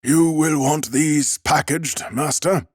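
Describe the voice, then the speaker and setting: A man's voice: a little high, slow, slightly rough, no room sound, studio quality, voice pushed up. Man acting a timid, deferential shopkeeper. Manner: hesitant, bowing politeness, stammering. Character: timid, deferential male bookseller, polite and humble. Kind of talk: audiobook character acting.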